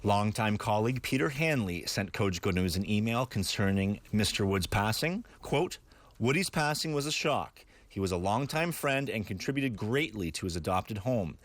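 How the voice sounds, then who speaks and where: A man's voice: on the low side, lively intonation, moderate pace, dry recording. A man delivering scripted news narration as a reporter.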